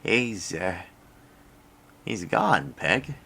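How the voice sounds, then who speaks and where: A man playing a doting, flustered scientist father in an audio drama, speaking in a halting stammer. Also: lowish voice, very animated, vocal fry, sharp tone, husky, exaggerated voice. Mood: forlorn, halting. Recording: music under the voice.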